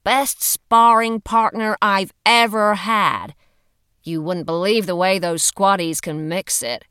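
A woman's voice: mid-range voice, slow, fairly bright tone, clear, resonant tone, dry recording, clean studio sound. A woman voicing a brash, bossy but loyal female friend in an audiobook, blunt and commanding. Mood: brash, wry. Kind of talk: audiobook character dialogue.

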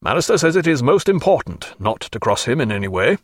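Brief: man playing brisk, concerned captain, husky, quick. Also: mid-range voice, very animated, dry recording, studio quality. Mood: earnest. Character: earnest naval captain, concerned friend.

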